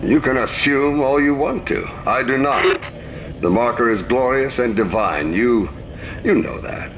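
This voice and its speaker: An actor playing a devout, self-assured ship captain who talks with condescending conviction. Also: male voice, mid-range voice, measured pace, close to an everyday voice. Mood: smug conviction. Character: zealous, dismissive ship captain, devout believer. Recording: dull, noisy ship ambience.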